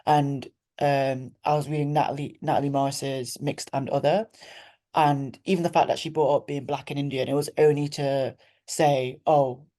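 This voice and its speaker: A woman's voice: rumbling low, little pitch movement, moderate pace, warm tone. A young woman interviewing, asking thoughtful, loosely phrased questions in a casual conversation.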